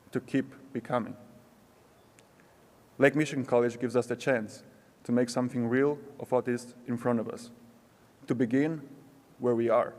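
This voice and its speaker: A young man giving a prepared graduation speech, slow and reflective with measured pauses, in a large ceremony hall. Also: medium pitch, a little mellow. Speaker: student graduation speaker. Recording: long hall reverb.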